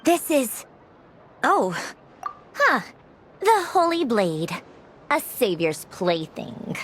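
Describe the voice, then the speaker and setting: A woman's voice: on the high side, sing-song, drawn-out words, noisy background, exaggerated voice. A woman voicing a haughty, easily flustered young puppet in a video game, huffy and snappish. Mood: grumbling, dismissive. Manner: huffy, snappish, sputtering protests. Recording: loud game music over the voice.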